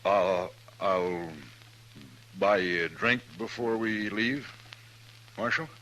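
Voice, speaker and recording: An American actor playing a gruff, proud, plain-spoken rancher in 1950s radio drama, slow and deliberate. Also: male voice, on the low side, fairly bright tone. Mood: grave, contrite. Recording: noisy old radio drama recording.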